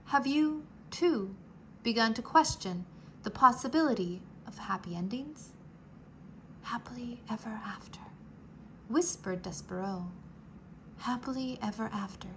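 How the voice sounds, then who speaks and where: A woman reading a children's storybook aloud, slow and expressive, dropping to a whisper at quiet moments. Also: a little high, a little hiss or hum. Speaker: storybook reader. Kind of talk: children's read-aloud narration.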